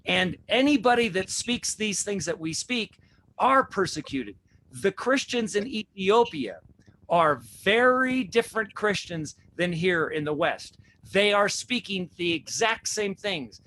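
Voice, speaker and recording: A man's voice: sits high, expressive, measured pace, bright, forceful tone, a little hiss or hum, poor audio. A man hosting a religious talk show, preaching with repeated rhetorical questions. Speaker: host.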